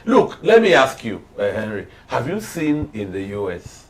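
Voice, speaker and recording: A man's voice: medium pitch, big pitch swings, moderate pace. A man with a Nigerian accent speaking as a panel guest on politics, sardonic and full of pointed questions. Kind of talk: political discussion.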